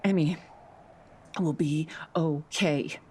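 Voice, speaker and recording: A woman's voice: deep voice, steady tone, slow, darkish tone, slight background noise. A woman playing a desperate, weary, injured survivalist mother in a sci-fi audio drama. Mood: pleading, weak.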